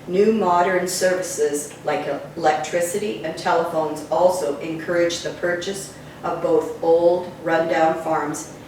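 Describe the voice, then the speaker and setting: A woman with a fairly low voice narrates local history from a written text. Her phrasing is measured and deliberate. The recording is very echoey and sounds far from the microphone. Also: fairly even intonation, darkish tone. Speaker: reader of a local history book. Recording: very echoey room, distant mic, faint noise.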